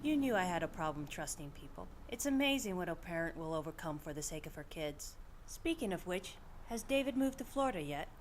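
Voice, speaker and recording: A woman's voice: on the low side, big pitch swings, brisk, few pauses, a little hiss or hum, low-quality recording. A woman playing a practical, caring middle-aged investigator in an audio drama, talking in a plain, conversational way. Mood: warm, reassuring. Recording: music behind the voice.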